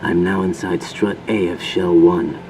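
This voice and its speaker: An actor playing a cool, confident special forces infiltrator in a video game, reporting in tersely and matter-of-factly. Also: male voice, low-pitched, little pitch movement, a little slow, music in the background. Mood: terse, alert. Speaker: field agent reporting in. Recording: distant, heavy humming ambient noise.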